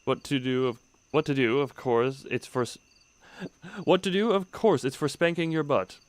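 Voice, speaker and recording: A man's voice: medium pitch, normal speed, close to an everyday voice. A man reading the lines of a stern, irritated male bandit in his own everyday voice, close to the mic. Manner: reads the figure's lines in his own plain voice.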